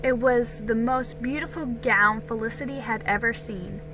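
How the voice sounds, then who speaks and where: A woman giving an expressive, deliberate dramatic reading of a children's historical storybook. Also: fairly high pitch, music in the background, rough recording. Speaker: storybook reader.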